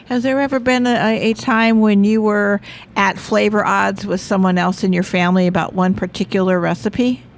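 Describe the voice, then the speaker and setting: A woman's voice: mid-range voice, fairly even intonation, moderate pace, a little hiss or hum. A woman co-hosting a local radio interview, adding brief praise and questions. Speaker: radio co-host.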